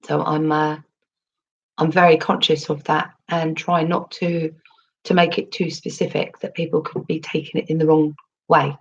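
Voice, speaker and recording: A woman with a husky, low voice, still hoarse after losing her voice, rambles through a casual livestream. She reads her chat aloud and answers in a chatty, meandering way, full of ums and restarts. The sound is slightly dull and lo-fi. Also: flat delivery, moderate pace. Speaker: crypto livestream host reading chat.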